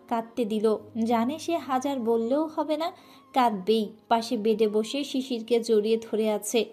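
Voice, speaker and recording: A woman narrating a scripted romantic audio story in a soft, emotive way. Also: on the high side.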